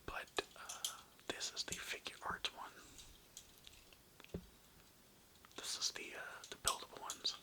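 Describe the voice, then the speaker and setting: An ASMR creator whispering in a breathy, unvoiced voice, rambling informally through a casual show-and-tell with some swearing. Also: slow pace, long pauses.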